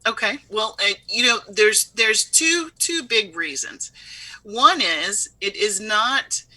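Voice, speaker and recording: An upbeat businesswoman pitches her product in a relaxed, chatty interview. Her voice is very bright and cutting, with no room echo around it. She talks in plain, homely comparisons. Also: slow pace. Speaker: CEO of a speech software firm, guest. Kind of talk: casual interview over video.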